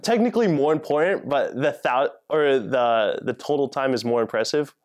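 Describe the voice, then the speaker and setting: A man's voice: medium pitch, normal speed. Eager chatty male podcast host. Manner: eager, chatty, laughs a lot, many "like"s. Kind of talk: casual podcast chat.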